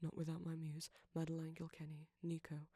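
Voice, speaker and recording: Even, breathy man reading an outro. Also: on the high side, fairly quick, no room sound. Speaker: ASMR audio creator. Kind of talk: scripted outro, reading thanks and names.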